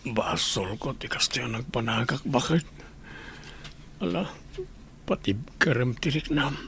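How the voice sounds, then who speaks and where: A man's voice: mid-range voice, animated, a little slow, creaky, fairly bright tone, a little hiss or hum. An actor with an Ilocano accent playing an anguished, regretful sick man in a radio drama, pained and pleading.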